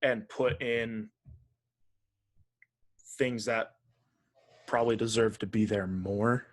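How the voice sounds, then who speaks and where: A man's voice: on the low side, steady tone, slow. A man co-hosting a video game podcast, chatting casually in a blunt, opinionated way. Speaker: podcast host.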